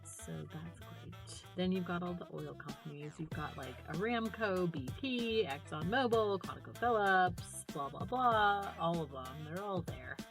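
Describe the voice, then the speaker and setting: A woman hosting a podcast in casual chat, with an irreverent, sardonic and animated way of talking. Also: fairly low pitch, measured pace, few pauses, over music. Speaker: podcast host.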